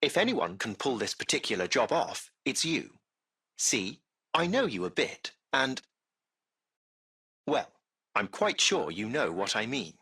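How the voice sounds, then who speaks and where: Crisp, courteous AI chauffeur, highly expressive. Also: male voice, full character voice. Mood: politely solicitous. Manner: formal, impeccably polite, ornate, measured diction. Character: courteous, servile artificial intelligence chauffeur of a luxury taxi. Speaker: AI driver and concierge. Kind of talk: video game voice acting, formal customer-service speech.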